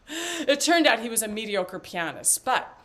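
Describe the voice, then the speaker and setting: A woman's voice: on the high side, very animated, takes it slowly, fairly bright tone, full character voice. An actress playing a talkative, flippant older mother in a stage play, rambling and joking theatrically with stammered asides. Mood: chatty, playful.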